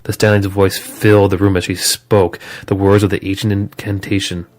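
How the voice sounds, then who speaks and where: A man with a low voice narrates a scripted horror story. He tells it dramatically, as the narrator of an audio drama. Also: level tone, moderate pace, darkish tone.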